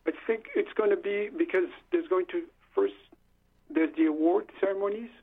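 A man's voice: high-pitched, moderate pace, breathy edge. A man, a musician and bandleader whose first language is Lingala, talking casually and a little hesitantly on a phone-in radio show. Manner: hesitant, friendly, restarts often. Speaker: musician, band leader. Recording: tinny phone line.

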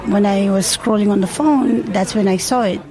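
A woman's voice: medium pitch, moderate pace, dark tone. A woman who grew up in India, a restaurant owner, speaking earnestly in an interview with small hesitations.

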